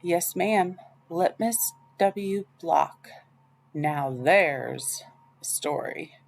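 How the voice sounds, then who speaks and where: A woman's voice: on the low side, animated, very slow, vocal fry, slight background noise, full character voice. Woman voicing a genteel, emphatic old lady librarian. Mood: grave, dramatic. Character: elderly female librarian, genteel, dramatic, fond of stories.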